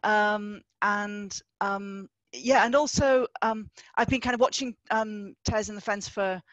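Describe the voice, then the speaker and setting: Middle-aged woman from northumberland, guest poet on a video call, halting, lots of ums, dry sound. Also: mid-range voice, steady tone, no room sound, dull audio. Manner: halting, many fillers and restarts. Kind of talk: festival introduction over video call.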